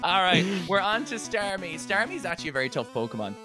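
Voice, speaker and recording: Highish male voice, very animated, jokey sweary gaming banter, faint music behind. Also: quick pace. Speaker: podcast co-host. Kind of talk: casual role-play game playthrough chat.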